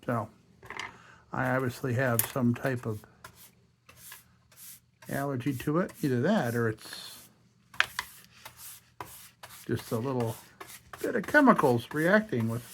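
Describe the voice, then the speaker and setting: Hoarse man chatting over a workbench. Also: mid-range voice, lively intonation, measured pace, long pauses, fairly bright tone, slight background noise. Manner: chatty, thinking aloud while working. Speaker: restoration channel host. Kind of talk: casual workshop narration. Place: indoor workshop bench.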